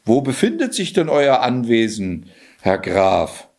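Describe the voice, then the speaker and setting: A man's voice: mid-range voice, very animated, a little slow, darkish tone, voice pushed up. A man voicing a kindly, sympathetic king in a fairy tale, stately and courteous.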